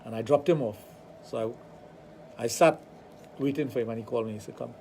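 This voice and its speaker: A man with a Trinidadian accent, hosting a radio talk show and telling animated stories with acted-out dialogue. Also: medium pitch, fairly quick, creaky, slight background noise. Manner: animated storytelling, acts out dialogue. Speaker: radio show host, sexologist.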